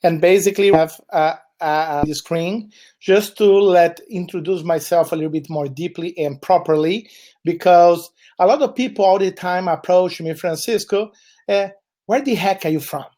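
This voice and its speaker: A man's voice: high voice, moderate pace, dark tone. A man from Chile with a Brazilian Portuguese accent, Portuguese his first language, giving a webinar presentation in a meandering way with frequent fillers.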